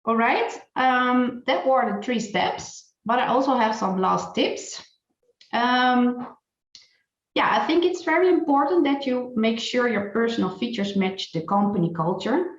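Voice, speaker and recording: Woman, hesitant presenter, gravelly voice, roomy dull call audio. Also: on the high side, unhurried. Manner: hesitant, many fillers and restarts. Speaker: presenter and recruiter. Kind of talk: online presentation and interview.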